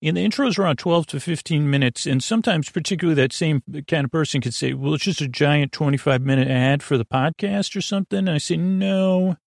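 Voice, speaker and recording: A man with a gravelly voice hosting a sleep podcast in a rambling, goofy monologue, halting and interrupting himself as he wanders off on digressions. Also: fairly high pitch, dry recording, clean studio sound. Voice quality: creaky, gravelly. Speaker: podcast host.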